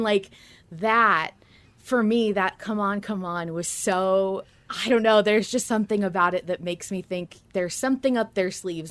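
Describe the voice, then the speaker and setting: A woman co-hosting a podcast, chatting informally and animatedly as she thinks aloud about a story she follows. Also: mid-range voice. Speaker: podcast co-host. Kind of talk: casual fan discussion chat.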